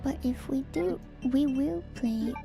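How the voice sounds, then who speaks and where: A woman whispering in an ASMR style as a streamer, reading aloud and musing on it in a hushed, hesitant way. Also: high voice, slow pace, gravelly. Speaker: streamer reading and discussing game lore. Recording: music behind the whispering voice. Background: music.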